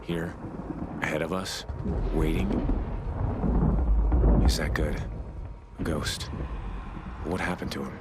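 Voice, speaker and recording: An actor playing a grim soldier who narrates a video game war story in a terse, brooding way. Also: male voice, extremely low pitch, takes it slowly, long pauses, heavy background noise. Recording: loud score, thunder and artillery behind.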